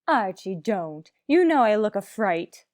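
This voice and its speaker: An actress playing a haughty, fussy society matron with affected, melodramatic upper-class diction in old-time radio comedy. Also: female voice, mid-range voice, very animated, unhurried, darkish tone, dry recording, clean studio sound. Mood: melodramatic, suffering. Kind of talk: 1940s radio comedy acting.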